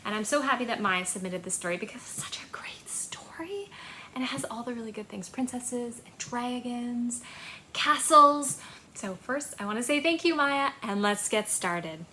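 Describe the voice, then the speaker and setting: A woman in her thirties hosting a children's storytime, speaking in an animated, encouraging way to young listeners in a small home room. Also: on the high side, normal speed, slight background noise. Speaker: children's library storytime host. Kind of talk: children's storytime with action rhymes and reading.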